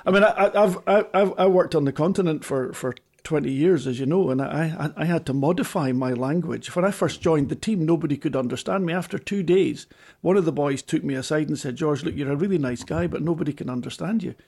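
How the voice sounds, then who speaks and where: A man's voice: on the high side, a little fast, darkish tone, breathy edge. A Scottish man, a former team boss, chatting animatedly with many stammering restarts. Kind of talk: casual chat.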